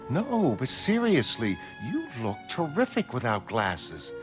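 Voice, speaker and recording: Frank, affectionate woman, voiced by a man for animated drama: playful, soothing, lively intonation, leisurely delivery, music underneath. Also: medium pitch, takes it slowly. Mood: teasing, flirtatious. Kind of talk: intimate animated drama dialogue. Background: loud music.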